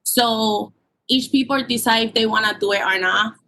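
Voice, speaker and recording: A woman of twenty-one, explaining her business plan earnestly at a public hearing, with halting ums, over a call line. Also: a little high, pushed and bright, poor audio. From Puerto Rico. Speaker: permit applicant. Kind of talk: remote public hearing testimony.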